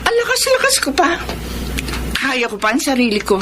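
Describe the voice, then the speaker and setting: A woman's voice: high voice, sing-song, normal speed, very noisy. A Filipino woman playing a proud, defensive, newly widowed middle-aged mother in a radio drama, flippant in manner. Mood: defensive, indignant.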